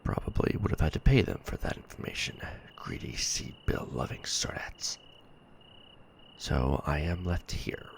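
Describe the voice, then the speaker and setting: Male actor for stranded sci-fi soldier: worn-out, bitter, rock-bottom pitch, heavy vocal fry, muttered ASMR log delivery with sighs. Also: full character voice, rough recording. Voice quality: very deep, gravelly, breathy murmur. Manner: low muttering with sighs and hesitant pauses. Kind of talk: sci-fi ASMR roleplay audio log monologue.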